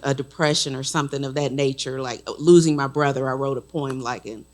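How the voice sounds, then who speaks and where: A woman's voice: a bass voice, fairly even intonation, fairly quick, a little mellow. A woman from the audience sharing a rambling, personal comment at a panel. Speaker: audience member.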